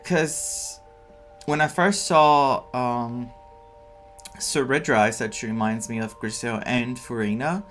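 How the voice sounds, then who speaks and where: A man giving casual playthrough commentary as a game streamer, thinking aloud in a halting, musing way. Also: medium pitch, fairly bright tone. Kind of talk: casual playthrough commentary, some reading of dialogue. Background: game music.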